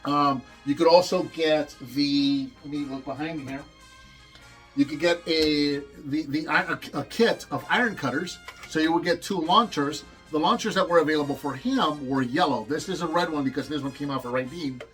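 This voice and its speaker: A man's voice: a little high, moderate pace, a bit bright, soft background music. Enthusiastic man hosting a toy review. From Puerto Rico. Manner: enthusiastic, rambling with restarts. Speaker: toy review channel host.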